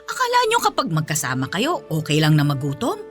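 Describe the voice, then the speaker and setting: Scolding middle-aged Filipino mother-in-law, swooping animated delivery, nagging and dismissive, radio drama. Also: sits low, soft background music. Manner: nagging, dismissive, drawn-out phrases.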